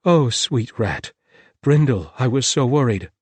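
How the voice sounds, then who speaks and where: A man's voice: animated, a little slow, a little mellow, husky, dry recording. A man voicing an anxious young male healer who talks in a worried, strained way. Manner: worried, rising pitch.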